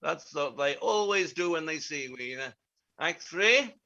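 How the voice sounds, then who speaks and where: Man playing a pompous high-voiced playwright, slightly muffled. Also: lively intonation, measured pace, vocal fry, pushed and bright, exaggerated voice. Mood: smug. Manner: pompous, declamatory, lecturing. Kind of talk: theatrical monologue in staged reading.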